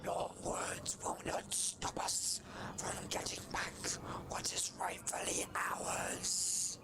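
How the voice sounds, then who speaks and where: A man playing a menacing, cold reptilian leader in a sci-fi audio drama, speaking formally in a raspy hiss. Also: in a whisper, natural voice. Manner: slow, deliberate, formal.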